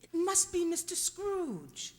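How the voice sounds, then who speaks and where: A woman plays a playful, curious wife in a radio drama. Her high voice leaps about in pitch and echoes in a long reverb. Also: huge pitch swings, slow, darkish tone, exaggerated voice. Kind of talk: radio play acting. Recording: reverberant hall-like sound.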